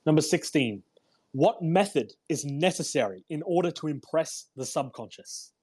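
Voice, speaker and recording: A man with a husky voice hosting a read-aloud, delivering a book in an emphatic, deliberate way with informal commentary. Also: on the high side, animated, a little mellow. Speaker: host reading a self-help book aloud. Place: live online audio room broadcast.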